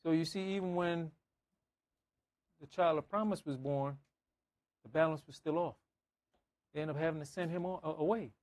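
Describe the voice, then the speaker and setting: A man with a fairly high voice delivers a conversational sermon. He speaks as a preacher, driving points home with emphatic repetition and everyday examples. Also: steady tone, normal speed, pauses often, darkish tone. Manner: emphatic, repeating phrases, acting out dialogue. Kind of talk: conversational sermon with scripture reading and anecdotes.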